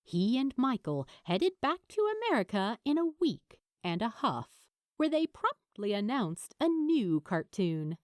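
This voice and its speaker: A woman reading scripted fiction aloud as a narrator, with an expressive, dramatic delivery. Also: mid-range voice, no room sound, studio quality.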